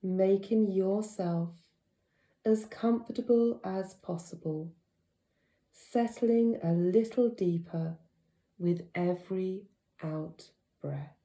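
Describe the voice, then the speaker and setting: A woman with a very soft, dark, mellow voice guides a deep relaxation session. She speaks slowly and gently, leaving unhurried pauses between short phrases. The sound is roomy, with a noticeable echo. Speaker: yoga nidra teacher.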